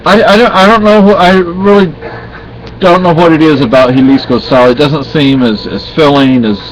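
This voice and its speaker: A man's voice: fairly high pitch, steady tone, moderate pace, rough recording. A middle-aged man from Spain chatting casually and rambling on a home vlog, often correcting himself. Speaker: vlogger. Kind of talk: casual chat.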